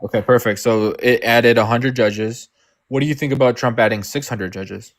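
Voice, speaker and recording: A man's voice: mid-range voice, steady tone, moderate pace. A young man arguing hard in a heated online political debate, swearing and mocking his opponents. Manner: argumentative, mocking impressions, swearing.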